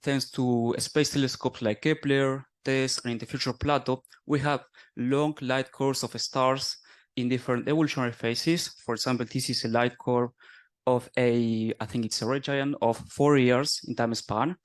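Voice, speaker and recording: A young man in his twenties from Argentina, presenting a scientific conference talk in a steady, explanatory way. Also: mid-range voice. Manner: explanatory, steady, with small self-corrections. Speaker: conference speaker, doctoral student.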